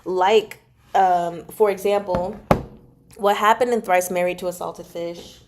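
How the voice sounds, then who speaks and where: Casual female streamer with a lowish voice. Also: fairly even intonation, a little slow, background noise. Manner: giggly, informal, chatty asides. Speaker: livestream host reading chapters aloud and chatting with viewers. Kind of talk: casual livestream chat, reading out viewer comments.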